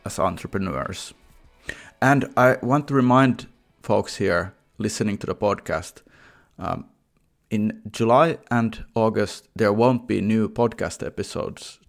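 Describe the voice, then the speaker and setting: A man's voice: lowish voice, creaky. A man speaking as a podcast host, introducing and presenting in a prepared but personal style. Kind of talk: podcast intro, sponsor read and interview.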